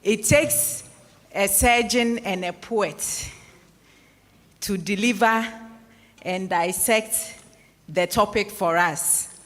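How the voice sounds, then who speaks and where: A woman in her forties from Ghana, moderating a public lecture in a large hall, summing up the talk in a measured, formal way before opening the floor. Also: medium pitch, fairly bright tone. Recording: echoey hall with long reverb.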